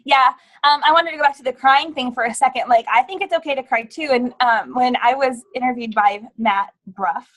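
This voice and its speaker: A woman's voice: high voice, brisk, fairly bright tone. A woman panelist talking casually on a live online panel, chatty, with lots of "like" and "um". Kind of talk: casual panel chat on a livestream.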